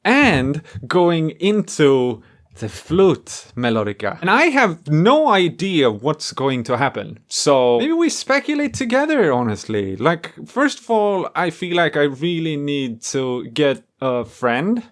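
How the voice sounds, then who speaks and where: An excitable man with a slightly high voice and very lively intonation. He talks casually and playfully, working out his thoughts aloud as he demonstrates something to his viewers. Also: slow. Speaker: host and musician demonstrating homemade experimental gear. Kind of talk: casual spontaneous demo to camera.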